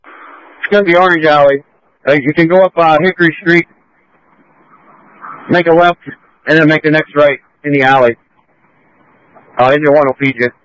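A man's voice: on the high side, steady tone, moderate pace, pauses often, fairly bright tone. An American man giving terse fire command orders over a two-way radio. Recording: muffled, lo-fi two-way radio transmission.

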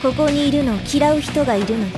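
A woman's voice: sits high, fast, music in the background, close to an everyday voice. A woman playing a playful, smugly confident young female assassin in an anime audio drama. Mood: smug teasing.

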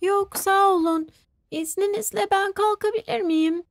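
A woman voicing a gentle, sensitive little preschool boy in a children's cartoon dub, speaking in simple, earnest phrases. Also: very high voice, fairly even intonation, a little slow, vocal fry, no echo, exaggerated voice. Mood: sad. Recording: studio dub over background music.

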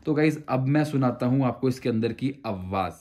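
Man, thirties, casual tutorial, conversational, step-by-step, English phrases mixed in, mid-pitched voice. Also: expressive, normal speed, mellow. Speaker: motorcycle gear reviewer. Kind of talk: casual hands-on product tutorial.

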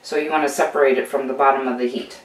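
A woman's voice: very deep voice, steady tone, quick pace, distant mic, very noisy. Chatty older woman in an echoey kitchen. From United States. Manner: chatty and encouraging, full of fillers and restarts. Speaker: homesteading channel host. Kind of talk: casual how-to demonstration. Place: home kitchen with steel counters, indoors. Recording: roomy echo, voice off-mic.

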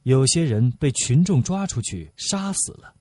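A man's voice: medium pitch, very animated, a little slow, warm tone, dry recording. A man reading a novel aloud as scripted audiobook narration.

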